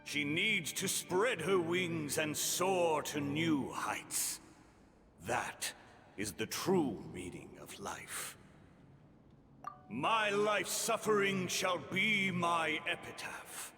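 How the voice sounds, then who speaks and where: A man's voice: fairly high pitch, very slow, pushed and bright, full character voice. A man playing a brooding, grandiose villain in a video game, delivering theatrical, portentous monologues on suffering. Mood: grandly brooding. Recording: long reverb over music.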